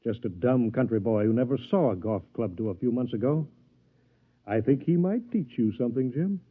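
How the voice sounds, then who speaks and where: A man's voice: mid-range voice, expressive, moderate pace, close to an everyday voice. A man playing a smug, needling rival in a 1950s radio drama, taunting and mocking as he draws out his words. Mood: boastful. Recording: very muffled, faintly noisy 1950s radio recording.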